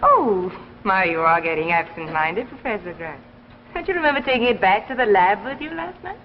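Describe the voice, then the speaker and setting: An actress playing an anxious, apologetic wife in a 1950s TV drama, stammering over first words, on an old muffled soundtrack. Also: female voice, medium pitch, very animated, normal speed, slight background noise, natural voice. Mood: gently chiding. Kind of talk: 1950s American television drama acting.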